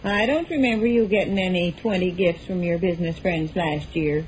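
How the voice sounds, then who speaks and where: An American actress playing a thrifty, skeptical middle-aged wife, folksy and persistent, in a 1940s radio comedy. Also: female voice, lowish voice, normal speed, darkish tone, close to an everyday voice. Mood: doubting, persistent. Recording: noisy old 1940s radio recording.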